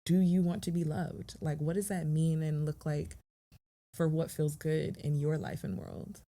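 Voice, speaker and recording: Low-voiced calm woman therapist. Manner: measured, affirming, calm therapeutic tone. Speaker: therapist guest. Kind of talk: reflective podcast conversation.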